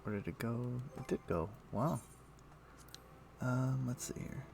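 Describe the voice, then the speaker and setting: A man streaming a game, chatting casually and thinking aloud in a halting, muttering way as he plays. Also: mid-range voice, gravelly, noisy background. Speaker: game livestreamer. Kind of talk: casual livestream chat with gameplay commentary.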